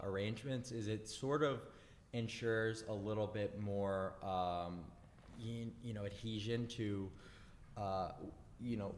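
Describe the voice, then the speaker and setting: A man hosts a casual session and shares his views, pausing often with uhs and you knows. His voice sits somewhat low and carries a long reverb tail. A faint noise runs underneath. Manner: halting, many uh and you know. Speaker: host giving advice. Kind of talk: casual opinion talk.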